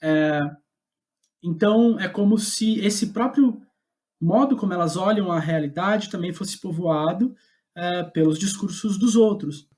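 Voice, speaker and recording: A man's voice: high voice, a little mellow. A man with a Brazilian Portuguese accent, reflecting in a podcast interview as a guest playwright, searching for his words as he goes. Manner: halting, thoughtful, searching for words.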